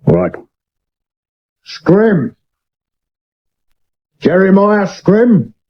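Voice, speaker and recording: A man's voice: sits high, wildly swooping pitch, extremely slow, full character voice. A man playing a brusque, dogged Victorian police inspector in a period radio drama, terse and commanding. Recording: muffled 1970s medium wave radio recording.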